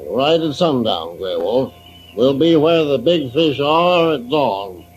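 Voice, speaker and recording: A man playing a genial fishing-boat skipper in an old-time radio adventure, with a folksy, unhurried manner. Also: mid-range voice, big pitch swings, over music, low-quality recording. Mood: hearty, welcoming.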